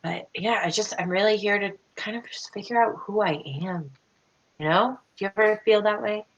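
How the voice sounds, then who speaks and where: A young woman improvising in character in an online tabletop game, halting and rambling, often repeating words. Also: medium pitch, dull audio. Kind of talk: improvised in-character roleplay over online call. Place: home room on a video call.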